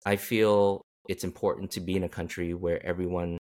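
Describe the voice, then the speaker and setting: Male host, very low voice, halting, repeats words, casual chat. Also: level tone, a little mellow. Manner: halting, repeats words while forming questions.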